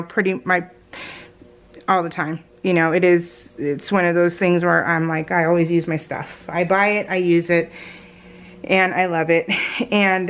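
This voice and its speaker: A middle-aged woman with a mid-pitched voice talks casually as a craft vlogger. She rambles in a chatty, unscripted way. Her manner is giggly and self-amused. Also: fairly bright tone.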